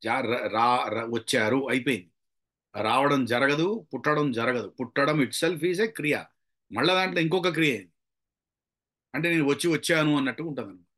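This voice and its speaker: A man's voice: medium pitch, moderate pace, long pauses, fairly bright tone. A middle-aged man with a Telugu accent giving an informal public speaking lecture, conversational, asking rhetorical questions and dropping in English phrases. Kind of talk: informal lecture with acted examples.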